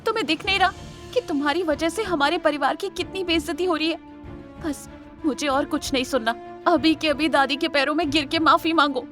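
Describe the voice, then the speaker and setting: Actress doing upset scolding wife, audio drama, really high voice, music under it. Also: female voice, a little fast, lightly acted. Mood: angry, scolding. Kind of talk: Hindi audio drama acting.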